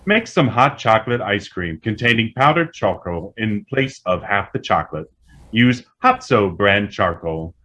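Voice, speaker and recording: Man voicing a low, impassive talking computer. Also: expressive, measured pace, sharp tone, exaggerated voice. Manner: formal, clipped, announcer-like. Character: impassive all-knowing talking computer, helpful, literal-minded. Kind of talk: radio drama acting.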